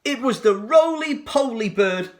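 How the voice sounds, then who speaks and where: A man narrating a children's storybook expressively, with dramatic, deliberate phrasing. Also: extremely high pitch, lively intonation. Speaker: teacher reading to pupils.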